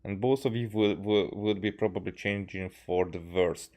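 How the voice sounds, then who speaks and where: Low-voiced man, halting game commentary. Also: darkish tone. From Hungary. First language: Hungarian. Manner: halting, stammering, earnest. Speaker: game streamer. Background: game music.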